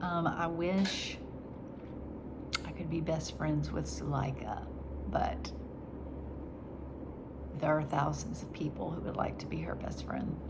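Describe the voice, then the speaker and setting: Woman talking slow n soft on her faith vlog, nasal from crying, kinda low voice, hiss behind her. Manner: soft and halting, slipping into whispers. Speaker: vlogger. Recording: steady background noise.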